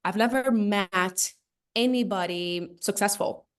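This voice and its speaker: A woman's voice: mid-range voice, slow. A woman in her thirties from Brazil with a Brazilian accent, a native Portuguese speaker, chatting casually as an interviewer. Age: 30s.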